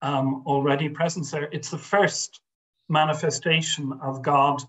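A man's voice: fairly high pitch, steady tone, measured pace, a bit bright, low-quality recording. An older man, a guest scholar speaking over a video call from a home study, thoughtful and hesitant with many restarts and fillers. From Ireland. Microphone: webcam on video call.

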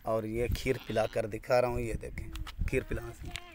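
A man selling goats in a casual farm vlog, speaking slowly and calling viewers "my dear brother". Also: wildly swooping pitch, background noise. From Pakistan. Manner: slow, warm-hearted salesman's pitch, calls viewers "my dear brother". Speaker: goat farmer selling goats. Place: outdoors on a rural brick-paved farmyard. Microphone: phone camera held by the speaker. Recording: outdoor phone audio with goats bleating.

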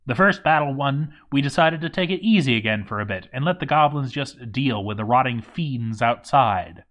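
A male narrator with a medium-pitched voice reads a game story aloud. His delivery is theatrical, leaning on emphatic pauses and dramatic swings as he tells the tale. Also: expressive, a bit bright.

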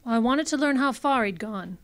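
A woman's voice: a little high, lively intonation, normal speed, natural voice. An actress playing an anxious, secretive femme fatale in a radio drama, hesitant and melodramatic in her pleading. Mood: evasive. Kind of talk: old-time radio detective drama acting.

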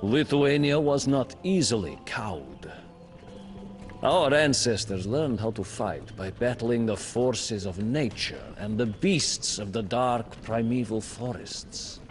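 A man narrates a game's storybook campaign in a slow, unhurried studio voice-over. His delivery is grave and measured, with a lively, swinging pitch that leans hard on dramatic moments. Faint music plays beneath him. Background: faint music score.

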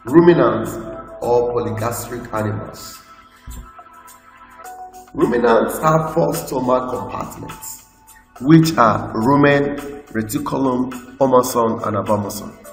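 A man with a Nigerian English accent, teaching a school lesson by slowly reading out lists from slides. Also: medium pitch. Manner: slow, list-like reading with explanations. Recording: echoey room with long reverb, faint music.

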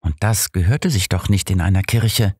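A man's voice: deep voice, moderate pace, a little mellow, husky, no room sound, studio quality, pitched below the performer's own voice. Man reading as a hurt, frightened young girl. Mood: uneasy, confiding. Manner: hesitant, plain childlike wording. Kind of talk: audiobook character reading.

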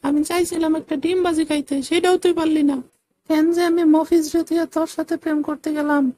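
A man's voice: very high voice, level tone, fast talker, warm tone, no room sound, pitched above the performer's own voice. A man voicing a scornful, demanding young girlfriend who scolds with contempt in a comic cartoon. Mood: scornful complaining.

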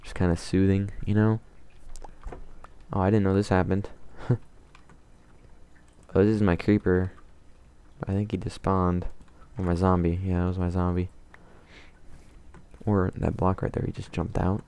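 A man calmly talking to himself while playing a game, in a soothing, mumbling way. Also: sits low, unhurried, pauses often, clean tone. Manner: calm, mumbling, self-talking. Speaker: gamer. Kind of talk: casual let's play commentary.

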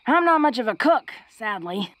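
A woman's voice: on the high side, very animated, moderate pace, fairly bright tone, no echo. A woman playing a tough, flirty, secretly awkward female orc in an intimate romantic audio roleplay, speaking in a hesitant, folksy, teasing way. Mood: bashful, affectionate.

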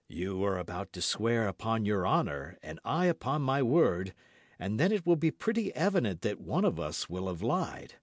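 A man voicing a refined young musketeer in an audiobook, measured, formal and coldly polite with a cutting edge. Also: on the low side, very animated, dry recording, clean studio sound. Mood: composed, ironic. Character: cool, haughty, refined young musketeer gentleman. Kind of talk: audiobook character acting.

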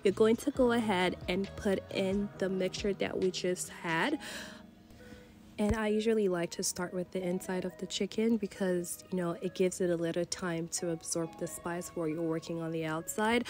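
A woman's voice: fairly low pitch, normal speed, soft background music. A woman from Nigeria, congested from a cold, talking casually through a cooking voice-over with lots of fillers. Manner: chatty, relaxed, many fillers like "you know". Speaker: cooking channel host. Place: voice-over recorded separately from the kitchen footage.

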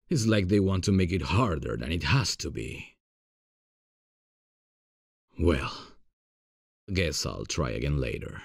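An extremely low-pitched, husky man's voice as a male voice actor plays it in an ASMR boyfriend roleplay. He is a playful, cocky gamer who takes his time over his words and sounds close and dry. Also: dry recording, full character voice. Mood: frustrated. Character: playful frustrated gamer boyfriend, grown man, cocky but affectionate.

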